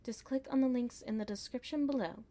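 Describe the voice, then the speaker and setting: Mellow-voiced woman giving a channel outro. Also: a little high, a little fast. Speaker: ASMR creator.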